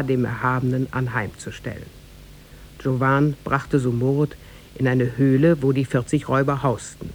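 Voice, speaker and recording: A man narrating a tale in a formal, measured 1950s radio-drama style with literary diction. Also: mid-range voice.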